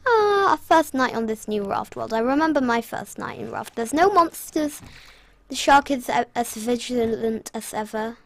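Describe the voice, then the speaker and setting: A woman's voice: on the high side, animated, moderate pace, gravelly. A young British woman chatting casually through her gameplay, rambling with self-mocking asides. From Britain. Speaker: gaming channel host.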